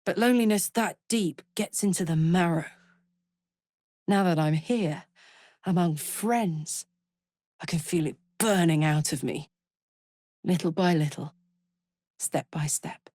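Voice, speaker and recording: Up close and very dry, a battle-hardened woman warrior from a fantasy video game. She is unhurried and earthy, speaking bluntly and informally, with an impulsive streak and a big heart. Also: lowish voice, mellow, no echo. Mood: tender, earnest. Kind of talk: video game character voice acting. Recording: dry close studio recording.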